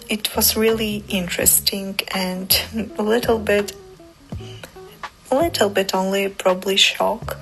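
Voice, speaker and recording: A woman. Her pace is slow and measured, and her voice has a gravelly, fry-tinged edge. Faint music plays behind her. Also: medium pitch, steady tone, low-quality recording. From Ukraine. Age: 20s. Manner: careful non-native English with pauses and restarts. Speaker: interviewee. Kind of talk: interview answers.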